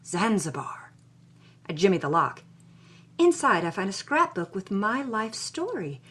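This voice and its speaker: Woman as lively, wry 1950s Hollywood starlet narrator. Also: medium pitch, natural voice. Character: plucky, jaded 1950s Hollywood starlet telling her own story. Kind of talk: first-person noir-style scripted narration.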